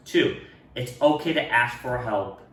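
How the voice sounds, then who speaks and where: A man reading a picture book aloud to children in an expressive manner, speaking to them directly. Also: medium pitch, a little slow. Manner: expressive, addresses children directly, acts out dialogue. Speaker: read-aloud storyteller for children. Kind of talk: animated picture-book read-aloud with asides. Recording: roomy echo, distant microphone.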